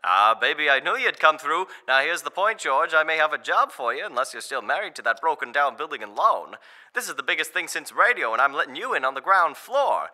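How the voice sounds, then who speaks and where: A man's voice: a little high, sing-song, brisk, sharp tone, heavily acted. A man playing a brash, jovial, back-slapping young businessman in an old-time radio drama. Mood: brash, confident.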